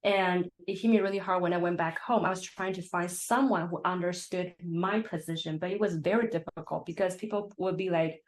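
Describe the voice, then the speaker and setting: A woman's voice: on the low side, steady tone, a little fast, hardly any pauses, rough recording. A young woman from Taiwan, a counseling intern speaking in a live-stream interview, reflective and full of fillers like "like" and "you know".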